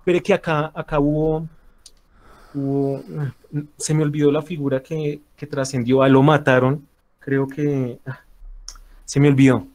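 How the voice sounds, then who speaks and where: A man's voice: mid-range voice, flat delivery, a little slow, long pauses, darkish tone. A man with a Colombian accent in an informal political debate on a voice chat, defensive and often repeating himself. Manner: defensive, repetitive. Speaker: debate guest. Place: online group voice chat.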